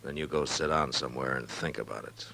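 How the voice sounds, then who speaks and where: An actor playing a stern, laconic frontier marshal in a measured 1950s radio western style. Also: male voice, extremely low pitch, gravelly, sharp tone, heavily acted. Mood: quietly firm. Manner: measured, understated, firm. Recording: old radio drama, murmuring crowd behind.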